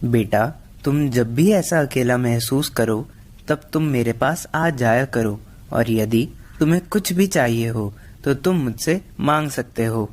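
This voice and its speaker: A man playing a kind, gentle neighbour of thirty in a Hindi cartoon story. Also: mid-range voice, measured pace, natural voice. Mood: caring.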